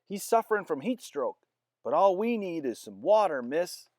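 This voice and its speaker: A man's voice: high voice, very animated, moderate pace, a little mellow, no room sound, clean studio sound, pitched above the performer's own voice. A man voicing a weary adult soldier in a storybook read-aloud, speaking plainly.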